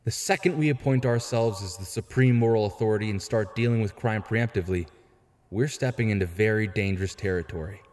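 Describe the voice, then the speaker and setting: An actor playing a frank, principled younger vigilante in an audio drama, earnest and articulate. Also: male voice, on the low side, a little fast, darkish tone, natural voice. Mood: earnest argument. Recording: long hall reverb.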